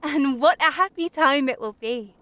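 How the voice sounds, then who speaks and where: A woman's voice: sits high, moderate pace, pushed and bright, no room sound. A woman voicing an eager, yearning young female snowdrop flower in a storybook, with longing exclamations.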